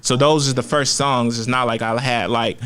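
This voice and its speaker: A man's voice: medium pitch, fairly even intonation, moderate pace, fairly bright tone, clean tone. A man, talking candidly and with plenty of profanity and slang as a rapper guest in a casual interview. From Oakland, California.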